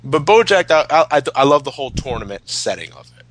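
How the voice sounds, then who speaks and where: A man's voice: mid-range voice, sing-song, brisk, fairly bright tone. A man giving casual fan commentary, opinionated and emphatic, with plenty of swearing. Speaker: commentary host.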